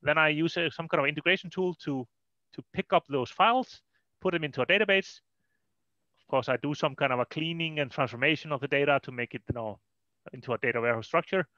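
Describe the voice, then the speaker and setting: Icelandic man presenting, slightly high voice, dull audio. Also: quick pace, sharp tone, no room sound. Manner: informal, explanatory, frequent "you know". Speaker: presenter, data consultant. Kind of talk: technical presentation at an online meetup. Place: indoors on a video call. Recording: dull lo-fi online call audio.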